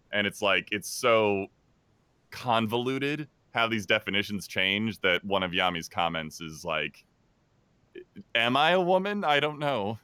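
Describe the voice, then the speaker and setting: A man chatting casually as a podcast panelist, halting but matter-of-fact. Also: on the low side, pushed and bright, smooth, no echo. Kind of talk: casual chat.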